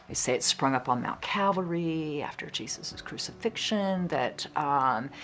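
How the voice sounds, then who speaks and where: Low, gravelly woman explaining casually. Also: a bit bright. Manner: chatty, with fillers. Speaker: herbal lore speaker.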